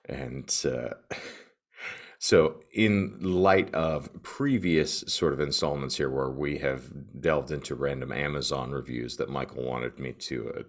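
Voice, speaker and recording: A man with a gravelly morning voice hosting a solo podcast, talking and reading aloud in a wordy, florid, tongue-in-cheek manner. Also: rumbling low. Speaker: podcast co-host. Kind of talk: solo podcast monologue, comic reading of reviews.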